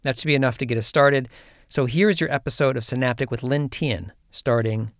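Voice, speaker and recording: A man hosting a podcast, reading a measured introduction and then asking relaxed questions. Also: medium pitch, no echo, clean studio sound. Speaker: podcast host. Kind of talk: scripted narration then interview.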